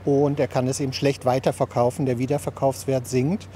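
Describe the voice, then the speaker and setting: A man's voice: medium pitch, flat delivery, brisk, warm tone, a little hiss or hum. A man explaining something matter-of-factly in an interview outdoors in a city. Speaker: interviewee expert.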